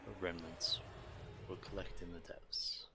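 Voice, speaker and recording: A man streaming a video game, reading on-screen text and commenting casually in a measured, subdued way. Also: low-pitched, level tone, noisy background.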